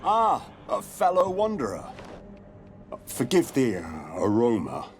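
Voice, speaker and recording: An actor playing a courteous but wary monster hunter in a video game, speaking in a measured, formal and ominous way. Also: male voice, mid-range voice, huge pitch swings, faint music behind. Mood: wary, wry. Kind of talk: dramatic video game dialogue acting.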